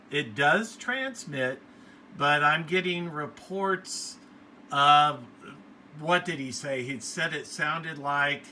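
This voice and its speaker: A man hosting a hobbyist video, talking casually through a product review in a plainspoken, halting, matter-of-fact way. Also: a little high, sharp tone. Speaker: radio hobbyist video host. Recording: faint background noise.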